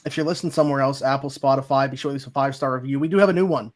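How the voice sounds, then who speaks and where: A man with a Pittsburgh accent co-hosts a sports podcast. His voice sits at a middle pitch, and he talks in an informal, chatty style. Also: very fast. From Pittsburgh area, United States. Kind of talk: casual sports podcast chat.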